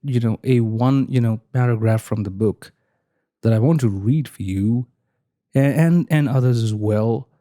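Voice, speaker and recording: Very dark, mellow male voice, dry sound. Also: medium pitch, measured pace, no room sound. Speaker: amateur reader. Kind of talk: casual talk and dramatic audiobook-style reading.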